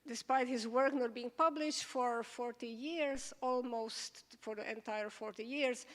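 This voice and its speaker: A woman scholar interviewing a guest onstage, halting and searching for words as she asks. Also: on the high side. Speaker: scholar moderating the conversation. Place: event hall. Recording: long reverb of a hall.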